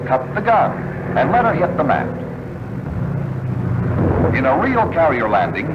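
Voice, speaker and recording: A man reading scripted instructional narration for a vintage military training film, in a measured, instructive manner. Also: on the high side, wildly swooping pitch. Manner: measured, instructional delivery with clipped checklist phrasing. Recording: dull, noisy old film soundtrack, aircraft drone. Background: aircraft engine noise.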